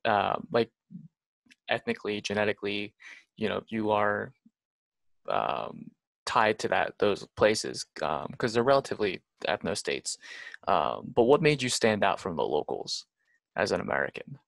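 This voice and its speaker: A man co-hosts a laid-back podcast and asks the guests curious, probing questions. His voice is low and gravelly with plenty of fry. The sound is dry and close. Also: expressive, measured pace, a bit bright, no room sound. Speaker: podcast co-host. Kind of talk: casual podcast chat.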